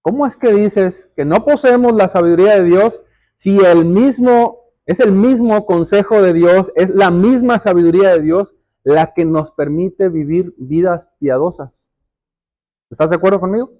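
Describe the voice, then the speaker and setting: A man with a notably high voice delivers a sermon. He teaches as he goes, putting questions to the congregation and repeating key phrases for emphasis. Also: moderate pace, mellow. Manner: didactic, explanatory, rhetorical questions. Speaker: preacher. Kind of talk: sermon, addressing the congregation directly.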